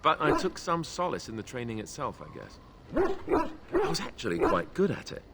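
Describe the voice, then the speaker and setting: An actor playing a wry, self-deprecating young warrior in a fantasy video game, with a joking, sarcastic manner. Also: male voice, a little high, wildly swooping pitch, normal speed, gravelly, very noisy, heavily acted. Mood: wry confiding.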